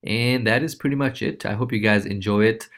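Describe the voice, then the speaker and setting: Casting: male tutorial presenter, lowish voice, informal and loose, walking viewers through on-screen steps. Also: a little fast, a bit bright. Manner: informal, loose, repeats check-in tags like okay and right. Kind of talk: casual screencast walkthrough.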